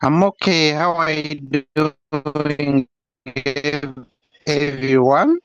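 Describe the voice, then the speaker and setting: A man from Uganda introducing himself haltingly on an online meeting with a poor connection. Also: fairly high pitch, fairly even intonation, fairly bright tone, smooth, dry recording. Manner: halting, slow, with long pauses. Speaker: course participant. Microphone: video call. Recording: dull, distant, choppy internet call.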